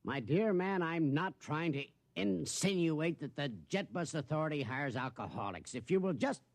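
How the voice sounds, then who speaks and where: Harried, animated company man. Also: on the high side, moderate pace, a little hiss or hum. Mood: exasperated, urgent. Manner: urgent, exasperated, formal. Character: harried, earnest male company official averting a murder. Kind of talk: 1950s radio acting.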